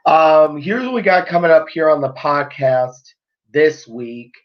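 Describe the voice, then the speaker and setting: A man hosts a casual live sports podcast, unhurried and taking his time over each thought. His voice sounds a little worn, and he rambles easily with plenty of ums and restarts. The stream audio is dull and lo-fi. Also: on the high side, expressive. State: voice a bit worn after nearly losing it. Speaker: podcast host.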